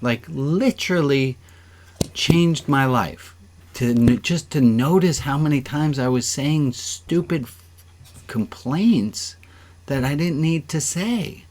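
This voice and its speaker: A man's voice: on the high side, animated, slow pace, a little mellow. A man speaking as a rabbi leading an informal online service, conversational and joking, encouraging people to take part. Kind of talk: informal interactive sermon, leading exercises.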